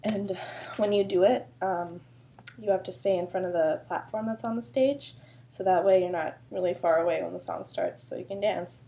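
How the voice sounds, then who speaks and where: A woman's voice: mid-range voice, normal speed, vocal fry, warm tone. A woman choreographer with a sore throat, explaining a dance routine in a chatty, loose way full of fillers. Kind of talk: casual dance instruction video.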